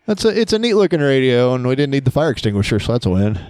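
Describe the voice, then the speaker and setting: A man's voice: medium pitch, big pitch swings, fast, background noise. A middle-aged man co-hosting a casual tech chat, speaking into a studio microphone. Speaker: co-host. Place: cluttered indoor basement studio. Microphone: podcast mic on boom arm.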